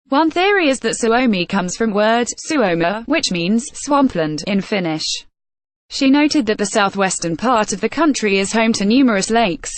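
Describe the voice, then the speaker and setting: A woman narrating a travel feature article in a steady, even reading voice with odd pauses. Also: medium pitch, dry recording.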